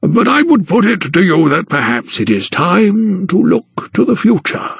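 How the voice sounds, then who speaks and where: Guy doing a slow patronizing old gent, gravelly, pitched up, kinda distant. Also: very animated, no echo, full character voice. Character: conniving older aristocratic gentleman, meddling guardian.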